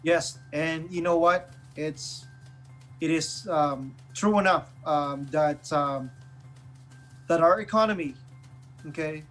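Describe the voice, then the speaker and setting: A man co-hosting a casual current affairs chat, haltingly repeating phrases and checking in with short tag questions. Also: on the high side, fairly bright tone. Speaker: talk show co-host. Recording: music bed behind the voice. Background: music.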